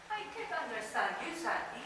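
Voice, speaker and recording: A woman's voice: fairly high pitch, big pitch swings, moderate pace, sharp tone. A woman acting a blunt, cheeky senior in a stage play, with a Filipino accent and a theatrical, scolding manner. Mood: teasing. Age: older. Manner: theatrical, scolding, switching between Tagalog and English. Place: community hall stage. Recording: echoey hall, far mic, noisy.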